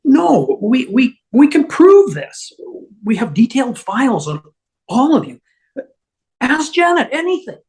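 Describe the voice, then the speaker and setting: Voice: high-pitched, big pitch swings, a little slow, a little mellow, exaggerated voice. An amateur actor playing a nervous, stammering schemer in a TV comedy table read, improvising cover stories. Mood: flustered insisting.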